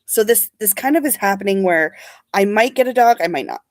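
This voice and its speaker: A woman's voice: mid-range voice, animated, a little fast, no room sound. Sniffly chatty woman vlogger, dry sound. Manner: chatty, rambling, reads and answers live comments. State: sniffly from allergies. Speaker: beauty vlogger. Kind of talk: casual livestream chat.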